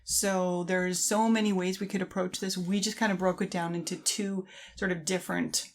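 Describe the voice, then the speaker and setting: A woman's voice: lowish voice, fairly even intonation, moderate pace. Middle-aged woman, agreeable, casual podcast co-host. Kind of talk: casual conversational podcast chat.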